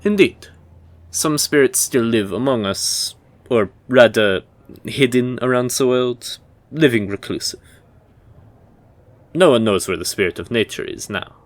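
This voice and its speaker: A man playing a dry, reserved history professor at a fantasy university, lecturing in a measured, formal way for an audio drama. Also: medium pitch, animated, dry recording, close to an everyday voice.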